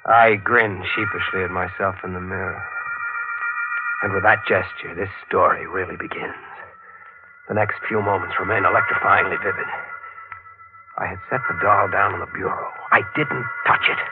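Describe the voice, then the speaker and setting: A man's voice: low voice, steady tone, normal speed, narrow, tinny audio, lightly acted. An actor playing a brooding, obsessive newspaper reporter who narrates his own mystery in intense 1940s radio style. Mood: hushed, uneasy. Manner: intense, dramatic. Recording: band-limited old 1940s radio, music behind.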